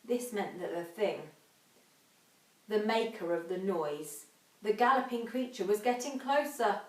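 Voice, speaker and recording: Female infant teacher, dramatic children's reading style, recorded away from the mic in a roomy space. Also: medium pitch. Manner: dramatic, emphatic, drawn-out sound words. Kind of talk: expressive children's storybook reading and instruction. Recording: echoey room, distant microphone.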